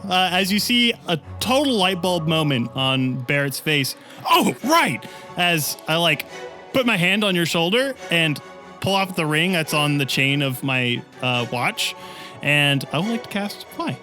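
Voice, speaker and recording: Higher-voiced man chatting at a game table. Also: animated, measured pace. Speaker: player in a tabletop role-playing game. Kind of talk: casual game-table chat. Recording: loud music bed behind the voice.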